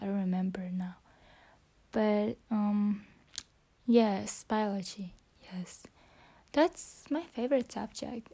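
A teenage woman practicing English by talking to her phone. She speaks slowly and hesitantly in a middling voice, drifting into soft near-whispers as she hunts for words and corrects herself. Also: medium pitch. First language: Vietnamese. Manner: hesitant, searching for words, self-correcting, soft and half-whispered, laughs often. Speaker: student vlogger. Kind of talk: casual self-talk vlog practicing English.

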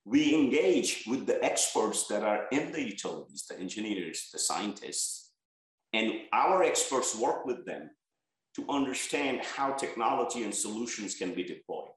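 A man's voice: on the low side, very animated, normal speed, very echoey, poor audio. A man from Bangladesh, an energy research head, giving earnest interview answers and listing points with stress on key words.

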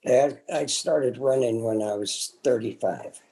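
A man's voice: mid-range voice, unhurried, mellow. A man in his seventies casually recalling his own experience as an interview guest, plain-spoken and hesitant. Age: 70s. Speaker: interview guest, host's father. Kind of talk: casual personal recollection.

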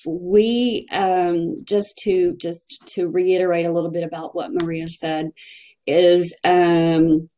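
A woman from Texas with a Texan accent, a podcast guest sharing her story in a hesitant way with many pauses. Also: on the low side, flat delivery. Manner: soft-spoken, hesitant, many pauses and fillers. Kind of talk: personal testimony in a podcast interview.

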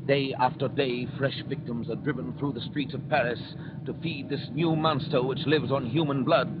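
An actor as a grave storyteller, narrating a historical radio drama in a portentous, rhythmic, deliberate way. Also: male voice, medium pitch, fairly even intonation, very noisy, lightly acted. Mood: somber. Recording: dull vintage radio, loud music behind.

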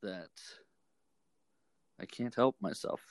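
A man from Texas in casual chat as a podcast co-host, joking and quick to correct the other speaker. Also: fairly low pitch, no echo.

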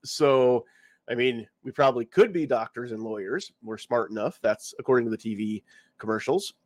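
A man's voice: mid-range voice, moderate pace, no room sound. A man hosting a casual live podcast, congested from a cold and talking around a lozenge in his mouth. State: congested, getting over a cold. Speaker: podcast host. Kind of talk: casual live-stream chat.

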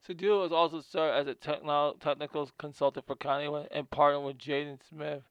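A man's voice: fairly high pitch, level tone, brisk, smooth, no echo, muffled recording. A man hosting a casual video, reading from notes about a guest and often stumbling and repeating words.

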